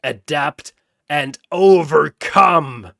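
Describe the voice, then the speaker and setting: A man voicing a proud, honour-bound bull-man warrior with booming battle cries in a fantasy story. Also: drawn-out words, gravelly, a bit bright, no echo, clean studio sound, exaggerated voice. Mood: battle fury.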